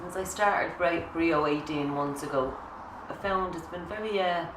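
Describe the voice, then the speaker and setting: A woman's voice: fairly low pitch, moderate pace. A woman and mother, a peer trainee, speaking hesitantly with many restarts. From Ireland. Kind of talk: recorded testimony. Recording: echoey room, mic at a distance, noise.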